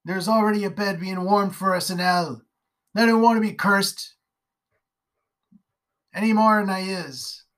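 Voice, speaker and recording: A narrator voicing a haunted, drunken rural father who rants in a rough country dialect, raised and loud. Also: mid-range voice, unhurried, long pauses, close to an everyday voice, low-quality recording. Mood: stern. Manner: rough rustic dialect, wild ranting. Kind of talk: storybook character voice.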